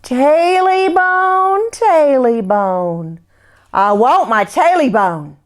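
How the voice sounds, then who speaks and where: A woman voicing a ghostly creature with a slow, wailing chant. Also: high voice, lively intonation, pitched above the performer's own voice, exaggerated voice. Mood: eerie wailing. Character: moaning ghostly creature hunting its lost tailbone.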